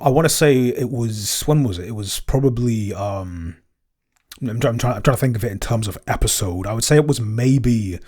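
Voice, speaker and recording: A man's voice: lowish voice, darkish tone. A man, one of a podcast's creators, chatting casually and unscripted as he answers questions, hesitant and often rephrasing mid-sentence. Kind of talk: casual unscripted behind-the-scenes chat.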